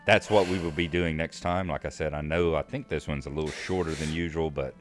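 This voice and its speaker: Very deep hoarse man with a cold. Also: fairly quick, soft background music. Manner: relaxed, chatty, self-deprecating. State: hoarse from a cold, losing his voice. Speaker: let's play host. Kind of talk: casual gaming commentary, reading quest text aloud.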